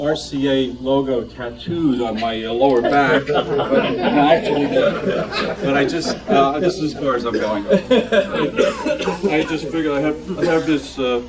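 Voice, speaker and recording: A man talking casually and jokily to a group at a show-and-tell, poking fun at himself. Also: mid-range voice. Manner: jokey, self-deprecating. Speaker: club member showing collection items. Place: club meeting room with audience. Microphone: handheld microphone, picked up from a distance. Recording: distant mic, loud crowd noise.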